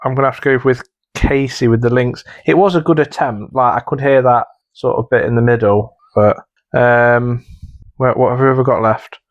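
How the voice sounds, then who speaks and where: A man's voice: medium pitch, fairly even intonation, normal speed, lo-fi sound. A man co-hosting a comedy podcast, chatting casually and judging entries in a hesitant, rambling way. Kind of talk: casual comedy panel chat.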